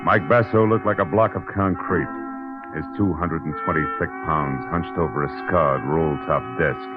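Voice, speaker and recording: An actor playing a tough, wry private detective in a vintage radio drama, terse and hard-boiled in narration and dialogue. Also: male voice, rumbling low, normal speed. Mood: brooding, wry. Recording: thin, phone-like vintage radio recording. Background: dramatic music.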